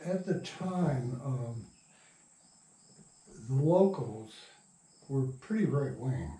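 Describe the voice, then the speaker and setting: A man's voice: medium pitch, lively intonation, mellow. An older man reminiscing in a casual interview, rambling and often interrupting himself. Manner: halting, rambling, self-interrupting. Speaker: interviewee, radio play writer and producer. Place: room in a house. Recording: roomy echo, distant from mic.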